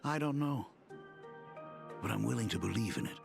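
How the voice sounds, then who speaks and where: An actor playing a gentle, fatherly dean with a formal, tender manner in a dramatic game story. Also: mid-range voice, normal speed, creaky, over music. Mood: wistful, regretful. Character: gentle, wistful, fatherly older academy dean, regretful.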